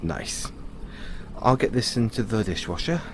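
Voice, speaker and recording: A man playing a thoughtful, kindly owner of an android in an audio roleplay, musing and explaining things to the listener in a measured way. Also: fairly low pitch, lively intonation, noisy background. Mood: casual, slightly secretive.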